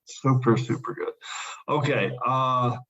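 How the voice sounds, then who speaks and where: A man's voice: mid-range voice, fairly even intonation, slow pace, sharp tone. Enthusiastic male podcast host, slightly muffled. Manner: enthusiastic, repeats praise words. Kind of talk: casual podcast discussion.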